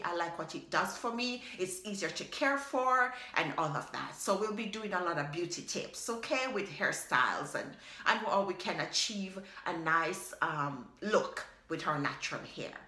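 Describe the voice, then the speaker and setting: A Jamaican woman in her thirties talking casually to her phone camera in a small home kitchen, chatty and laughing often. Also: lowish voice. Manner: chatty, animated, laughs often, repeats "yes, guys". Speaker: vlogger. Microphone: phone camera at arm's length. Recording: echoey kitchen.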